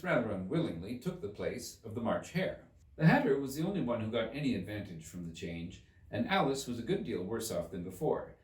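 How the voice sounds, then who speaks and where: Lively male storybook narrator in an echoey room. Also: lowish voice. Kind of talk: expressive scripted narration of a children's classic. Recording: roomy echo, distant mic.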